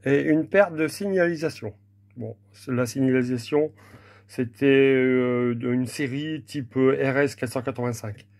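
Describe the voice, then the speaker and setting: Gravelly-voiced man giving an informal demo. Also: medium pitch, a little slow, darkish tone. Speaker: hobbyist presenter demonstrating old equipment. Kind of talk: informal unscripted technical demonstration.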